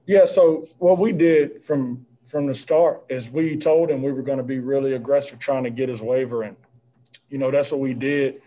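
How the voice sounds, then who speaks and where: A man in his thirties, a head basketball coach, answering postgame questions on a video call in an earnest, measured way with many fillers. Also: mid-range voice, fairly even intonation, warm tone, poor audio. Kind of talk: postgame press conference answers. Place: indoor press backdrop room. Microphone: video call, seated at desk with mask.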